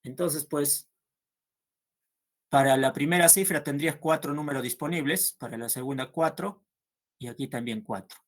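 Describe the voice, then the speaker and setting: A man teaching a math class, working through problems aloud and checking with students as he goes. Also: on the high side. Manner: slow and patient, checks understanding with "¿no?".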